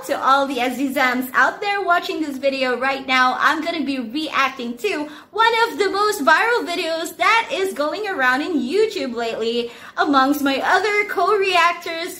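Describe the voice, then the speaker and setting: A woman's voice: sits high, lively intonation, a little slow, few pauses, sharp tone. A woman hosting a reaction video, talking in a chatty, enthusiastic and slightly shy way. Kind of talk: casual reaction vlog chat.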